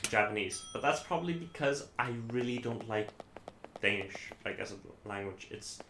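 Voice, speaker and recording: Lowish male voice, Icelandic accent, native Icelandic speaker, halting and rambling, casual live-stream chat, roomy echo. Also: pushed and bright. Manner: halting, rambling, self-correcting. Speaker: live streamer playing games. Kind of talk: casual chat while gaming. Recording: echoey room, faint background noise.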